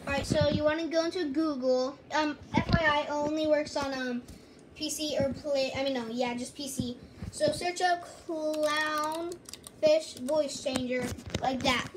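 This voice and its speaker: Female tutorial host, high voice, casual and halting step-by-step delivery, noticeably noisy handheld recording. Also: steady tone. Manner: halting, repeats and corrects herself. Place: indoors at a home computer. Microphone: handheld phone or camera, filming screen.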